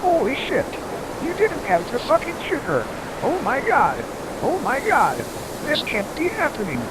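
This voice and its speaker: Loud rushing wind and surf surround a performer playing a hot-tempered reporter. The delivery is profane, taunting and melodramatic, with pitch that leaps around in an amateur radio drama style. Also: wildly swooping pitch, heavily acted. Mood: pained, panicked. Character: hot-tempered, foul-mouthed storm reporter, ranting and dramatic. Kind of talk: amateur audio drama acting.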